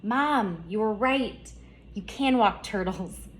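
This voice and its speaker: A woman voicing an excitable, curious young schoolgirl in a storybook, eager and earnest. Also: medium pitch, slow pace, slight background noise, pitched above the performer's own voice, natural voice. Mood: excited. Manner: eager, wide-eyed, earnest.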